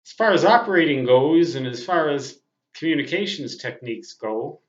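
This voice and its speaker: A man in his sixties giving a casual, reflective monologue as a veteran radio hobbyist, speaking in a deliberate, halting way with emphatic phrases. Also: on the high side, lively intonation. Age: 60s. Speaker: veteran amateur radio operator. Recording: muffled audio in a roomy space.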